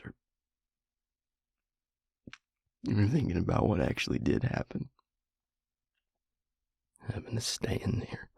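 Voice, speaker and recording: A man playing a grieving, guilt-ridden vampire confiding in his mate in an intimate audio roleplay, halting and hushed, with a breathy, husky voice. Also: on the low side, no room sound, studio quality, full character voice. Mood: anguished, brooding, then distressed, bitter. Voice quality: breathy, husky, gravelly near-whisper.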